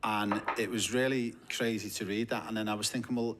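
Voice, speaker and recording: Man with a low voice asking questions. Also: little pitch movement, a little fast, background noise. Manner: frequent short agreeing replies, conversational questions. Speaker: interviewer, coach. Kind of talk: casual interview.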